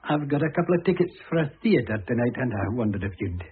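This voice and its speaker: An actor in an old-time radio drama playing an irascible, scheming, jealous husband who blusters indignantly and slyly. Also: male voice, mid-range voice, animated, fast talker, slight background noise, lightly acted. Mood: smooth, evasive. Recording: muffled, narrow-band old radio recording.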